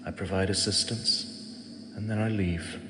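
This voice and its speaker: A man voicing an ancient male god who speaks softly and slowly, fond and gently teasing, as if to a mortal he protects. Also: on the low side, fairly even intonation, noisy background, natural voice. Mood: stern. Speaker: voice actor. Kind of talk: audio drama monologue. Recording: echoey with long reverb and clear ambience.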